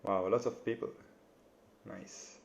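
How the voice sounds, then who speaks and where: A young man with an Italian accent, hosting a live interview over a video call, friendly and halting, with many fillers. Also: fairly low pitch, steady tone, gravelly, background noise. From Italy. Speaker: interviewer, bassoonist. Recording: dull lo-fi video call audio.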